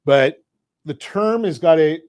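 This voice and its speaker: Male radio host, higher pitch, dry close studio sound, energetic and jokey. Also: slow pace, smooth, dry recording. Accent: Southern Californian. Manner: energetic, jokey, asks leading questions. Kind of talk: talk radio with a sponsor read. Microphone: studio mic.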